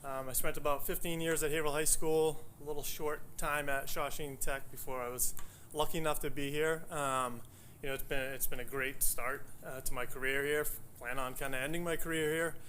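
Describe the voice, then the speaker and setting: A middle-aged man, a guidance counselor introducing himself in a relaxed, humble way with many fillers. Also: on the high side, fairly quick, a little hiss or hum. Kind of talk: formal self-introduction at a meeting.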